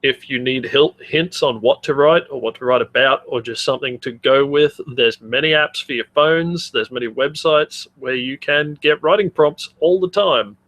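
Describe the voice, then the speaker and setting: A man's voice: medium pitch, normal speed, few pauses, a bit bright, low-quality recording. An Australian man co-hosting a podcast in casual chat, blunt and joking, swearing freely. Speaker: podcast co-host.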